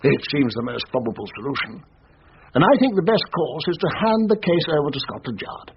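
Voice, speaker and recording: An actor playing a brisk, confident upper-class colonel who is easily exasperated, in a radio drama. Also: male voice, fairly high pitch, very animated, creaky. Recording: muffled radio drama with faint noise.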